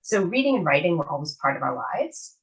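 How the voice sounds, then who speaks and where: A woman in her thirties from Canada talks about her background as an interview guest. Her voice is notably low for a woman, and she tells her story steadily and earnestly. She is heard over a video call. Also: big pitch swings, a little fast, rough recording. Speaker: guest author. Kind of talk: casual interview chat.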